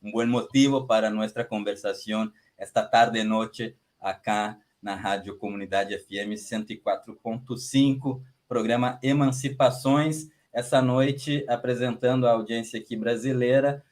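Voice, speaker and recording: A man's voice: medium pitch, steady tone, a little slow, few pauses, darkish tone. A man hosting a radio interview, phrasing his introductions and questions in a wordy, searching way. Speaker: radio host.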